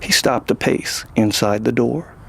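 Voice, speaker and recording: A man's voice: medium pitch, unhurried, creaky, fairly bright tone. A man hosting and narrating a scripted science fiction story. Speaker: host and narrator.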